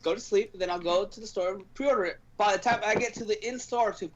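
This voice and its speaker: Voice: mid-range voice, fast. Lo-fi casual gaming host. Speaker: podcast host. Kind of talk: casual gaming news discussion. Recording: dull lo-fi stream audio.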